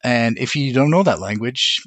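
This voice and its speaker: A man from Lebanon answering interview questions conversationally, pausing often as he thinks things through in a measured, reflective way. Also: mid-range voice, animated, a bit bright, no echo. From Lebanon, immigrated to Montreal as a teenager. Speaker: interview guest, corporate aviation operations manager.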